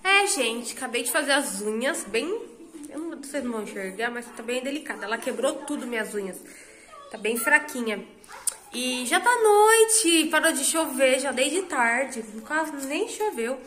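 A woman's voice: high-pitched, expressive, normal speed, fairly bright tone. A woman in her thirties from Brazil, chatting casually with viewers while filming in her home kitchen on a phone. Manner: chatty, addresses viewers directly. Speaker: vlogger. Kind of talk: casual cooking vlog narration.

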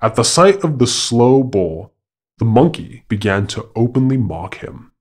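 A man narrating a scripted animal fable as a podcast storyteller, in a formal, measured and dramatic manner. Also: mid-range voice, big pitch swings.

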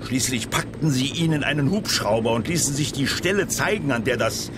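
An actor playing a grave, commanding army general with a clipped manner in a children's sci-fi radio play. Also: male voice, medium pitch, lively intonation, fairly quick, lightly acted. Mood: reluctant, grave. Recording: helicopter noise behind the voice. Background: helicopter noise.

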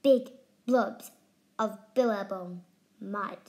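A woman's voice: medium pitch, slow, a little mellow. A woman voicing a friendly, chatty male platypus in a storybook. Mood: cheerful. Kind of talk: storybook reading.

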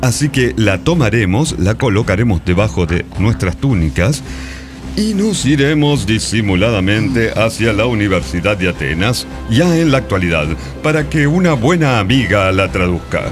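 A man's voice: fairly low pitch, sing-song, moderate pace, over music. A man playing a confident, wry investigator leading a time-travel mission, speaking mock-seriously in a comic radio play. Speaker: radio theater podcast performer. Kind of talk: comic radio drama dialogue.

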